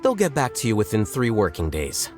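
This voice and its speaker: A man's voice: fairly low pitch, huge pitch swings, a little fast, faint music behind. A man voicing an aloof, sardonic scholar presiding over a contest in a video game, dry and formal. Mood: dry sarcasm. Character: aloof young male scholar-judge, dry, sardonic, unyielding.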